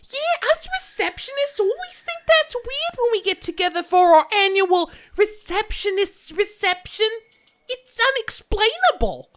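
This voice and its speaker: Tiny squeaky timid receptionist. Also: female voice, lively intonation, a little slow, a bit bright, heavily acted. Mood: chatty. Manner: hesitant, stammering. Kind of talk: comic audio drama acting.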